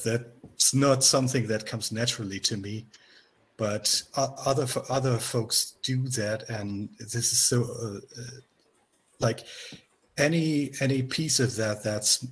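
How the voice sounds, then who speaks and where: Slow, husky German man on a call. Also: fairly low pitch. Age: older. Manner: halting, many fillers and restarts. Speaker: software developer. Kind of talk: casual video call chat. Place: small room at home. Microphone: headset on a video call.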